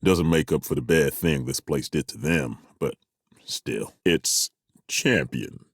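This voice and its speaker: An actor playing a gruff, weary dwarf warrior in a video game, grumbling with crude, wry humor. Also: male voice, rumbling low, slow pace, dry recording, studio quality, full character voice. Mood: dry.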